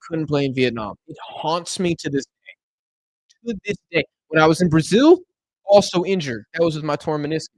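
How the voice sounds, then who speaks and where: Casting: young male streamer, tired and a bit hoarse, rambling chat, dry close sound. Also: medium pitch, dry recording, poor audio. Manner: chatty, rambling, answers chat questions. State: tired, losing his voice. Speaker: live stream host. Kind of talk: casual live stream chat. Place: home room in Argentina.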